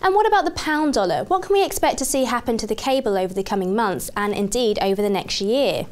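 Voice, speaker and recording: A woman's voice: on the high side, lively intonation, fast. A woman presenting financial news and putting questions to a guest in a scripted, formal newsreader manner.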